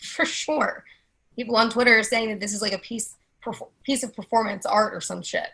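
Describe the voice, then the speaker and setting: Foul-mouthed, intense woman, twenty-seven played by a woman, sharp husky voice, brash stage dialogue. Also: medium pitch, moderate pace, heavily acted. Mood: excited. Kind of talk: readers theatre play reading.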